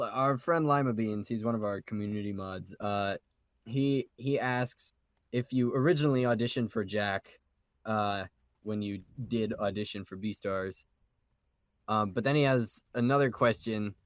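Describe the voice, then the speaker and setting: A man's voice: fairly low pitch, a little slow, no echo, clean studio sound. A man co-hosting a casual group podcast, chatting and putting questions to a guest. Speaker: podcast co-host.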